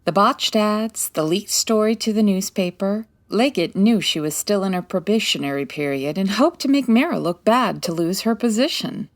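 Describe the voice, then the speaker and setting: A woman's voice: mid-range voice, moderate pace, few pauses, natural voice. A woman playing a poised, wry 1940s private detective in a comedy audio drama, articulate and dry-witted. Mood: composed, explaining.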